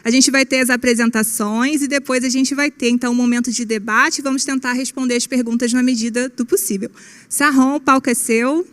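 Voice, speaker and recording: A woman's voice: high-pitched, fairly even intonation, brisk, a bit bright. A woman moderating an online panel discussion in a friendly, conversational way, often tagging phrases with casual fillers.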